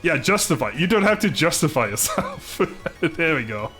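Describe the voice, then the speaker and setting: A man's voice: fairly high pitch, very animated, normal speed, bright, forceful tone, faint music behind. A young man from the Netherlands with a Dutch accent, chatting casually on a live stream, laughing often and stumbling over his words.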